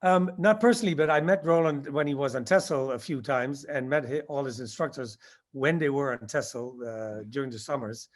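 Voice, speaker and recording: A man in his sixties, born in Indonesia, answering interview questions about his past and recalling it haltingly with many fillers. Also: medium pitch. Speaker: guest, skydiving instructor.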